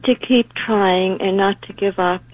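A woman calls in over a tinny, dry phone line. She speaks in a halting way, pausing with fillers as she searches for words. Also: mid-range voice, steady tone, no echo. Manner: hesitant, halting. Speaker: caller. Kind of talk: call-in radio testimony.

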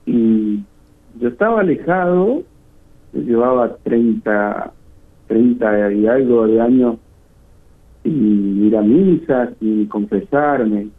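A middle-aged man with a northern Argentine accent, giving halting testimony as a guest caller over the phone. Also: mid-range voice. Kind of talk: personal testimony in an interview. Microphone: phone call. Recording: tinny phone line, muffled and rough.

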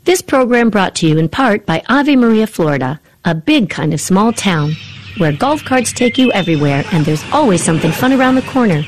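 A woman reading a radio commercial in an inviting, upbeat, mid-pitched voice. Faint music plays under her. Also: very animated, brisk. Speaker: ad announcer. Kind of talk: scripted radio ad read.

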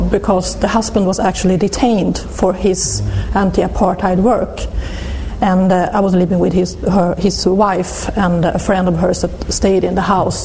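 Swedish lady talking slow and halting, warm soft voice, bit of hiss behind. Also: medium pitch, low-quality recording. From Sweden. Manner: hesitant, halting, many restarts. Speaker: interview guest. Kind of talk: reflective personal testimony.

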